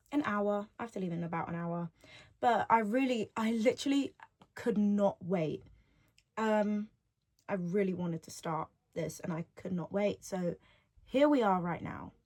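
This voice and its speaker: A woman's voice: medium pitch, unhurried, a little mellow. Rambling chatty young woman, eighteen. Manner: rambling and chatty, repeats her own phrases. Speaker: podcast host. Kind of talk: solo podcast monologue. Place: at home. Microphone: device mic, no podcast microphone.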